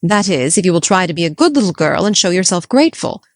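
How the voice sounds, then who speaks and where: A woman's voice: fairly low pitch, very animated, quick pace, no echo, studio quality. A woman voicing a strict, no-nonsense middle-aged spinster, clipped and disapproving. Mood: stern. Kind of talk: audiobook character voice.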